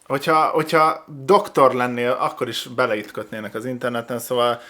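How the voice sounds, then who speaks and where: Male reaction-show host, medium pitch, casual and sarcastic, talks in crude slang. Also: fairly even intonation, bright, forceful tone. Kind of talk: casual chat, video commentary.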